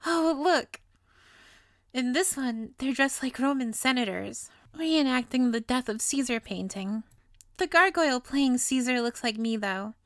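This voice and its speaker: A woman's voice: high voice, a little slow, no echo, studio quality. A woman playing a sweet, clingy, obsessively lovestruck young witch in an intimate comedic roleplay, with a cutesy, gushing, playful manner. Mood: gushing, dreamy. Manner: cutesy, gushing, flustered stammers, playful asides. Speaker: audio roleplay voice actor.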